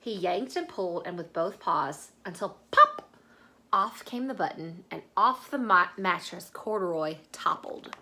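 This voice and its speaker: A woman reading a children's story aloud expressively, dramatic and dropping into hushed asides to the listener. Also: fairly low pitch, very animated, a little slow. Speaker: storybook reader.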